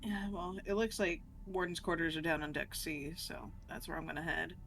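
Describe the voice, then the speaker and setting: A woman's voice: on the low side, steady tone, moderate pace, creaky, a bit bright. A woman chatting casually as a player in a tabletop roleplaying game.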